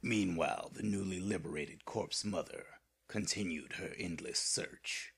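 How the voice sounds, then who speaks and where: A man playing a grave narrator of an audio horror drama, reading scripted, dramatic narration in a deep, husky voice. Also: a little slow, fairly bright tone, full character voice. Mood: ominous.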